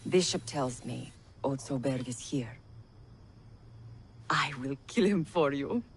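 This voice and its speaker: An actress playing a blunt female assassin with a deadpan, measured delivery. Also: a bass voice, a little hiss or hum, exaggerated voice.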